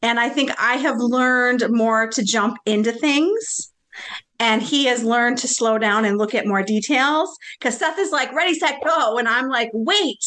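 A woman guest on a podcast, expressive and reflective, quick with exclamations as she talks about her marriage and business. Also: on the high side. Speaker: podcast guest, blogger and mother. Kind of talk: casual podcast interview.

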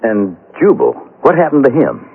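Muffled vintage radio drama: puzzled, inquisitive western man, husky, pitch swinging. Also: wildly swooping pitch, moderate pace, exaggerated voice. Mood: subdued, sombre. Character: puzzled man eagerly questioning about an old murder. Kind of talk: 1970s radio western acting. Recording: muffled vintage radio recording, faint music.

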